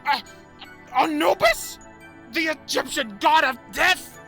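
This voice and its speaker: A man's voice: very high voice, animated, slow, gravelly, pushed and bright, big-room sound, faint music behind, heavily acted. A man playing a greedy, blustering museum investor in an audio drama. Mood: alarmed.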